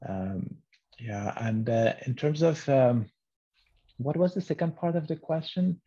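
A middle-aged man from Afghanistan, a native Farsi speaker, soft-spoken and halting as he gives reflective interview answers. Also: medium pitch, slightly rough. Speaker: interview guest, children's author. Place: small book-lined room at home. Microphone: video call, computer microphone. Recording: muffled, band-limited video call audio.

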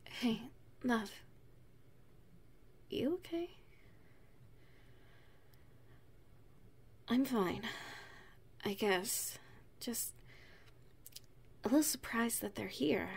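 A woman playing an affectionate, playful wife in an ASMR roleplay, speaking in a soft, intimate manner. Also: on the high side, animated, takes it slowly, pauses often, slightly rough, exaggerated voice. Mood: concerned, gentle. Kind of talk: ASMR roleplay, one side of a dialogue.

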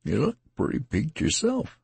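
Male reader doing a tattered, wounded rustic soldier: raised, rasping voice, rambling folksy speech, audiobook. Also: fairly high pitch, huge pitch swings, moderate pace, a little mellow, dry recording, voice pushed up, heavily acted. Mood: rambling, worried. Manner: rambling folksy dialect, slurring as he weakens.

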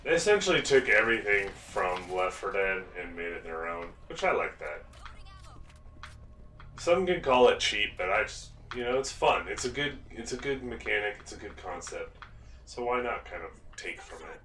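Guy in his 30s yapping through a zombie game on a headset, bright punchy voice, roomy sound, kinda off mic. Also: on the low side, moderate pace, distant mic, slight background noise. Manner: excited, chatty, exclaims often. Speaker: gaming video host. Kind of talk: let's play commentary.

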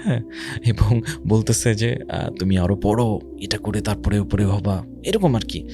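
A man's voice: fairly low pitch, measured pace. A man with a Bangladeshi accent giving a religious social commentary talk, speaking emphatically with rhetorical questions and some English words mixed in. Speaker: Islamic speaker. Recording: music behind the voice.

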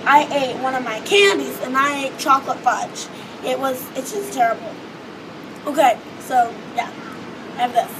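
A hoarse teenage girl rambles through a casual vlog, her voice high and strained from losing it. She talks close to a phone on a seaside balcony while ocean waves and wind wash clearly behind her. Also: normal speed. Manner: chatty, rambling with many fillers. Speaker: vlogger. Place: outdoor hotel balcony above a beach. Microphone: phone or webcam close in front. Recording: wind and wave noise outdoors. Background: ocean waves and beach noise.

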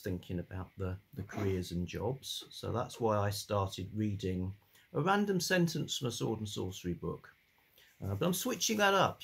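Brief: older book vlogger, calm and meandering, low voice, husky, at his desk on a webcam. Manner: calm, rambling with many fillers. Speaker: book channel host. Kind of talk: casual chat about old books. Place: home study lined with bookshelves.

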